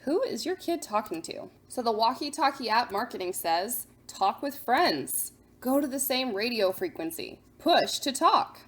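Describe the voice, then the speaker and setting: Higher-pitched woman presenting a parent guide. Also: very animated, a little slow, husky. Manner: instructive, poses questions then answers them. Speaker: host of a parent guide to apps. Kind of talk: scripted explainer for parents.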